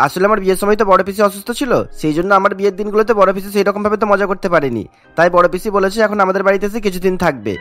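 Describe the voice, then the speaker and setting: Fast-talking, high-voiced young husband. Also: male voice, a bit bright, no room sound. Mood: explaining. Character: protective, indignant young newlywed husband. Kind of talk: cartoon family drama dialogue. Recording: music bed under the voice.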